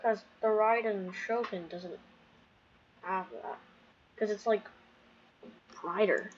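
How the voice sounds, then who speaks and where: A woman's voice: medium pitch, steady tone, slow, highs cut off, slight background noise. A young woman giving casual, rambling, giggly commentary while playing a video game. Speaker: gamer streaming a playthrough.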